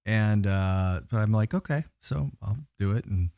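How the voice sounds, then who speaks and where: A man guesting on a bar podcast, telling rambling stories with a naturally low voice and many fillers. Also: a little slow, creaky, mellow, no echo, studio quality. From Pacific Northwest US. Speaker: guest singer. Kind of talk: casual podcast chat.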